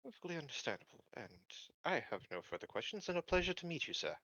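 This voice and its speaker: Formal male security recruit, played by a man at a game table: bright, close and dry, deferential, measured delivery. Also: fairly high pitch, big pitch swings, no room sound, muffled recording, heavily acted. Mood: polite, businesslike. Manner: measured, formal phrasing with hesitations. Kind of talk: improvised in-character roleplay.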